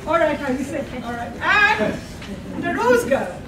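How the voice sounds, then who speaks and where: Older lady mc with a high voice, echoey room, lots of audience noise. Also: very animated, takes it slowly, recorded from a distance, crowd noise. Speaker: event host, college faculty member. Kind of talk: informal event announcements to an audience. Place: college classroom at a podium. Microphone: distant camera.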